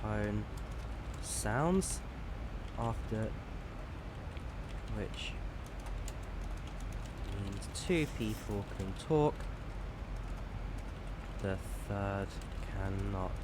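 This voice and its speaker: A man's voice: on the low side, lively intonation, drawn-out words, long pauses, background noise. A man giving casual live stream commentary while flying a simulator, chatty and self-deprecating.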